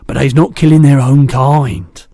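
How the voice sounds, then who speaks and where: A man reading aloud and voicing a big, kindly male giant who mangles his words, in a louder and higher voice than his own. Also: fairly even intonation, measured pace, vocal fry, no room sound, clean studio sound. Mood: earnest. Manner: jumbled made-up words, booming and eager.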